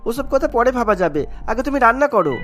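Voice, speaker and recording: Fast, high-voiced young husband, voiced by a woman. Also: very animated, soft background music. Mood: brisk. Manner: earnest, reassuring. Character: kind young village husband, devout and reassuring. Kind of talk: cartoon story dialogue.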